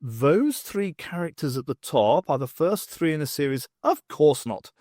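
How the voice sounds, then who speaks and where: A man's voice: on the high side, big pitch swings, unhurried, darkish tone, dry recording, clean studio sound. A man voicing pedantic, indignant male mathematics professors bickering in an audiobook.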